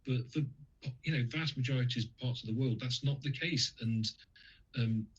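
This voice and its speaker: A man's voice: medium pitch, moderate pace, slightly rough, muffled recording. A man from the UK speaking as a guest on a radio interview, talking in a hesitant way with many fillers and restarts.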